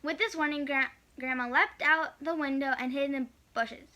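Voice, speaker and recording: A woman narrates a picture-book story for children. Her voice is high and bright, and she tells it expressively. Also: steady tone, normal speed. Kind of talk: children's read-aloud narration.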